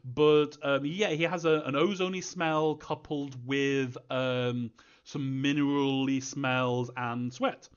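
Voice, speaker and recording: A man's voice: mid-range voice, steady tone, takes it slowly, clear, resonant tone. Hesitant man with dull lo-fi sound. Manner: hesitant, rambling, thinking aloud. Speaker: game creator answering fan questions. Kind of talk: casual live Q&A talk.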